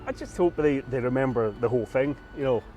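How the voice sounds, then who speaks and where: A man's voice: medium pitch, expressive, fairly quick, darkish tone. An Irish man chats in an easygoing way outdoors, with traffic in the background. Faint music sits under his voice. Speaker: master distiller. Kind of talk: outdoor interview.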